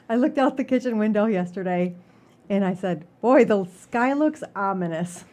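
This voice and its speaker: A woman's voice: mid-range voice, animated, moderate pace, dark tone. A woman co-hosting a podcast in casual conversation, easygoing and supportive in her replies. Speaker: podcast co-host. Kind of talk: casual chat.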